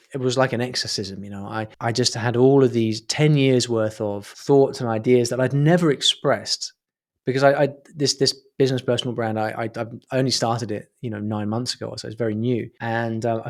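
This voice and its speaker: A man speaking casually as a podcast guest, thoughtful and wordy, circling back as he explains things. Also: mid-range voice. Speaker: podcast guest, online business owner. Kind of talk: casual interview conversation.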